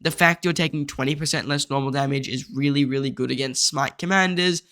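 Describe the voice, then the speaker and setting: A man's voice: medium pitch, fairly quick. Congested man explaining a game guide. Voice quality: nasal. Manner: steady, methodical explaining. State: congested, blocked nose.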